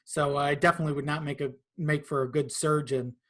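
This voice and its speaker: A man chatting casually as a podcast guest, in a halting, amused manner. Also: a little high, steady tone, a bit bright. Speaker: podcast guest, video editor. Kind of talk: casual chat.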